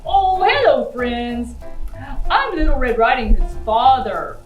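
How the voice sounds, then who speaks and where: A woman's voice: sits high, big pitch swings, takes it slowly, recorded from a distance, dull audio, soft background music, exaggerated voice. A woman voicing a cheerful father puppet in a children's show, addressing young listeners in a friendly way. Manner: friendly, greeting young audience. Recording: roomy, echoey indoor sound.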